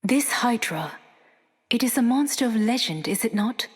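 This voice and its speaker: A woman's voice: fairly high pitch, lively intonation, normal speed, in a large hall, natural voice. A woman voicing a fierce female warrior in a video game, speaking in grand, old-fashioned phrasing.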